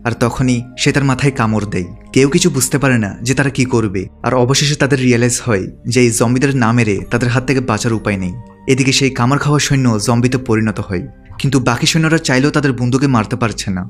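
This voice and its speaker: A man giving a scripted narration in a steady storytelling manner. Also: mid-range voice. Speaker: movie recap narrator. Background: background music.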